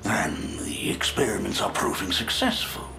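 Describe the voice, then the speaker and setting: Sly plague-bringer, gravelly creak, wildly swooping pitch, mocking, smug, fantasy game villain, music behind. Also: male voice, wildly swooping pitch, moderate pace, heavily acted. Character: sly, gloating villain spreading plague, corpse collector. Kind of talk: fantasy video game acting.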